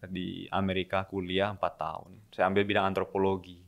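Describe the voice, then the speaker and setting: Smooth low-voiced young man, measured. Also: completely flat delivery. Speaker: guest, a seminarian. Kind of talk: casual podcast interview.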